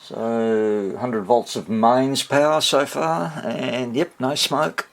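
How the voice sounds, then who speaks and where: A man giving a casual hands-on repair demonstration, muttering to himself and pausing between short phrases as he thinks aloud. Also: medium pitch, vocal fry. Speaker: hobbyist radio repairer presenting his workshop video.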